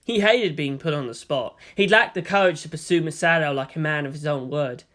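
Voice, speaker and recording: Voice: mid-range voice, brisk, fairly bright tone. A narrator giving a dramatised reading of a story. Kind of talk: dramatised fan fiction reading.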